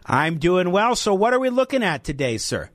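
A man's voice: sits high, sing-song, normal speed. A man hosting a local talk radio show, speaking in an emphatic, opinionated and conversational way. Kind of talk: talk radio commentary and interview. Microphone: studio microphone.

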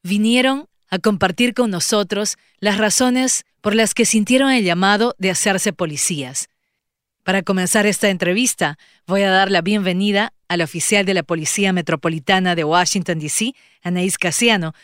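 A woman hosting an interview, asking measured questions and giving soft backchannel murmurs. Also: mid-range voice, no room sound, studio quality. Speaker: radio show host.

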